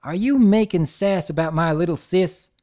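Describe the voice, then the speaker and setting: A man's voice: high-pitched, moderate pace, mellow, voice pushed up, natural voice. A man voicing an honest, hardworking country pony mare with a Southern drawl and folksy, earnest manner.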